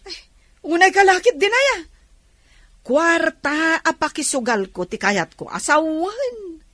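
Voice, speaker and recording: A woman's voice: very high voice, very animated, takes it slowly, fairly bright tone, no echo, full character voice. A woman with an Ilocano accent playing a nosy, pushy middle-aged neighbour in a radio comedy drama, mocking and wheedling. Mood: pushy, scoffing. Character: nosy, pushy older neighbour woman, always asking loans.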